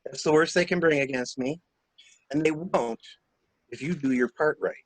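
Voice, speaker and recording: A man in an amateur mystery drama reading, playing a cool-headed gentleman stranger who briskly and wryly takes charge of a cover-up. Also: fairly high pitch, dry recording, natural voice, rough recording. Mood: cool, planning.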